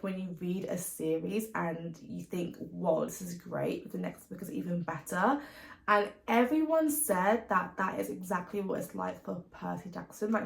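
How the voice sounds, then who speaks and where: A woman's voice: medium pitch, vocal fry, roomy sound. A British woman talking casually and chattily as a book vlogger, informal and given to emphatic repetition. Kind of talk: casual chat to camera about reading plans.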